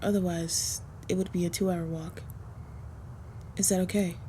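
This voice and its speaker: Female vampire, acted by a woman, mellow, even-toned, gentle, hesitant, protective, intimate roleplay audio. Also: lowish voice, normal speed, a little hiss or hum, close to an everyday voice. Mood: gentle, awkward. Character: protective, slightly tsundere female vampire, softly smitten.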